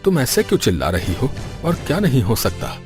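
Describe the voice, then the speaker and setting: A man's voice: medium pitch, sing-song, a little fast, natural voice. A man voicing a humble, honest brahmin in a cartoon story, who speaks deferentially and hesitantly. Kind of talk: cartoon voice acting. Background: background music.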